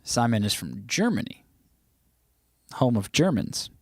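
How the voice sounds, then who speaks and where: A man's voice: mid-range voice, wildly swooping pitch, measured pace, gravelly, dry recording, clean studio sound. A man hosting a casual livestream, chatting loosely and teasing his viewers as he reads their comments aloud. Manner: rambling with frequent restarts. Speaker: livestream host.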